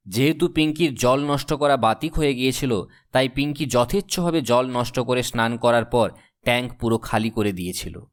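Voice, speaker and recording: Mid-pitched man narrating a story.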